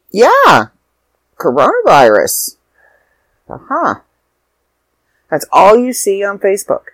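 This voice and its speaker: A young woman vlogging casually from home, rambling and sidetracking, a little stuffy and hoarse with a sore throat and tired. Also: deep voice, no room sound. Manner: rambling, self-interrupting. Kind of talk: casual chat to camera.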